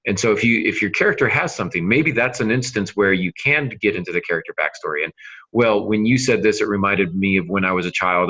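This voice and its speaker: A man's voice: low-pitched, sharp tone, muffled recording. A man co-hosting a writing podcast, explaining things in a casual way with hypothetical examples. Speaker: writing podcast co-host and novelist. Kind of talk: casual instructional discussion.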